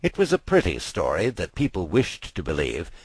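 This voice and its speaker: A man reading a story as an audiobook narrator, with measured, dramatic phrasing. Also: sits low, huge pitch swings, breathy edge, dry recording. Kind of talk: expressive scripted storytelling narration.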